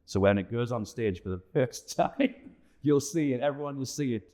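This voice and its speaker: A man's voice: medium pitch, normal speed, warm tone. A man chatting informally and enthusiastically in an interview as a theatre director. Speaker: pantomime director and producer being interviewed. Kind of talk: casual interview chat.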